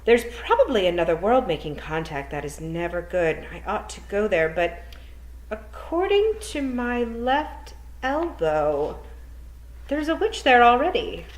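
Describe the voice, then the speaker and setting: A woman voicing a stern travelling witch in a storybook reading, speaking in a brisk, matter-of-fact way. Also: medium pitch, sing-song, pitched above the performer's own voice, rough recording. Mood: worried.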